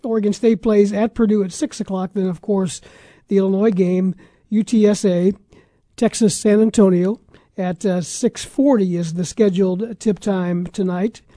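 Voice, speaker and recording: A man's voice: high voice, fairly even intonation, moderate pace, warm tone, dry recording, studio quality. A man with an American accent hosting casual sports talk, running through scores in a conversational, matter-of-fact way. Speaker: sports radio talk show host.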